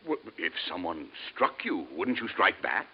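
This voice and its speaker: Wary, commanding space expedition leader. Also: male voice, sits low, big pitch swings, exaggerated voice. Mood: astonished, probing. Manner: brisk, suspicious, military. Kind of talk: vintage radio drama acting. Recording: dull, faintly noisy old radio recording.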